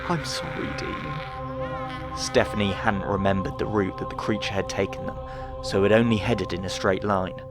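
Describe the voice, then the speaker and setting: A man's voice: deep voice, flat delivery, brisk, over loud music. A man narrating a dramatic sci-fi audiobook from a script.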